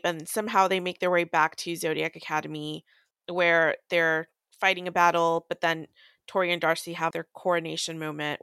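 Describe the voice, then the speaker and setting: Woman podcast host, clean smooth voice, dry close sound, chatty rambling book recap with slang. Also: fairly low pitch, bright, forceful tone, no room sound.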